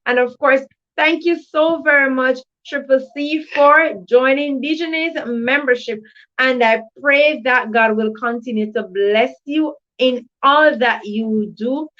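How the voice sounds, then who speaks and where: A woman's voice: high voice, unhurried. A woman with a Jamaican accent hosts a children's educational livestream, chatting to viewers in an effusive, repetitive way. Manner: effusive, repeats thanks and stock phrases. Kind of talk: live chat hosting with shout-outs. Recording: dull lo-fi livestream audio.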